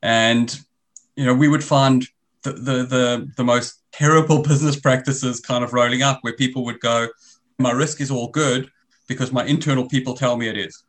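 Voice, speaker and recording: A man's voice: mid-range voice, fairly even intonation, moderate pace. South African man explaining at length. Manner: talks in long explaining runs with restarts. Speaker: guest, risk business founder. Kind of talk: conversational interview.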